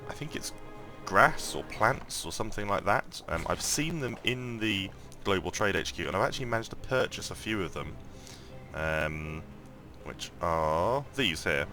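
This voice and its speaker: A man with a croaky morning voice giving casual gameplay commentary, thinking aloud and rambling with lots of ums and restarts. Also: sits low, pushed and bright. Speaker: mobile game let's-play host.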